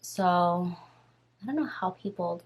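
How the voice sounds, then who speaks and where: A woman in her twenties with a raspy voice, casually telling a story on her vlog while doing her makeup, rambling and full of fillers. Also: fairly low pitch, slow, rough recording. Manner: rambling, filler-heavy and nervous. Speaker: vlogger.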